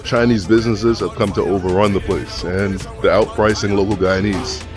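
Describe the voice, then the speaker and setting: A man's voice: fairly low pitch, fairly even intonation, moderate pace, music in the background. A man vlogging casually as he walks city streets, talking loosely and thinking aloud into a handheld camera mic. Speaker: travel vlogger. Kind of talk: casual walk-and-talk vlog. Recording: outdoor street noise. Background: street traffic and city ambience.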